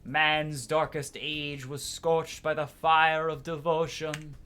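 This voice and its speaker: A man acts out a fervent game zealot with a raised, loud voice. He declaims his piety grandly, like a preacher delivering holy words. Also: fairly high pitch, little pitch movement, takes it slowly, faint music behind, pitched above the performer's own voice. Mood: solemn, prayerful. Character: fervent male religious zealot, pious and grandiose. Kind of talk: video game dialogue read aloud by a player.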